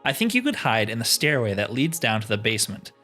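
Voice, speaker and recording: A man's voice: medium pitch, big pitch swings, fast talker, pushed and bright, faint music behind. Man reading as a businesslike village shopkeeper woman. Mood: businesslike, concerned. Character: businesslike female village trader, put-upon and wary.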